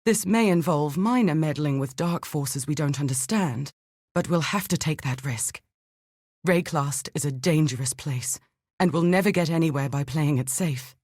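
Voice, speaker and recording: Casting: a bookish, earnest scientist-adventurer for a video game; low female register, measured, articulate delivery, dry studio sound. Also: darkish tone, dry recording. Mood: brisk, practical. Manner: precise, formal, articulate, thoughtful. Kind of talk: scripted video game dialogue.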